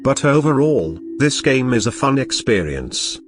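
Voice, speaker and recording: A young man talks through a retro game review in a casual, upbeat voice of middling pitch. He mixes enthusiasm with dry joking asides. Soft game music plays underneath. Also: sing-song, unhurried. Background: game music playing.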